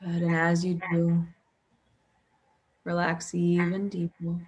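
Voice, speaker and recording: A woman guiding a sleep hypnosis session as a hypnotherapist, calm, with drawn-out pauses and audible breaths. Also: on the low side, muffled recording. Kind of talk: guided sleep hypnosis, soothing relaxation script.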